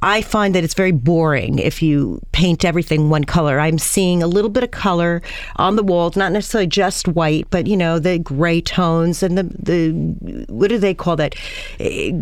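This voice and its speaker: A woman's voice: lowish voice, no room sound. A middle-aged woman hosting a radio talk show in a studio, chatty and rambling, with a lot of enthusiasm.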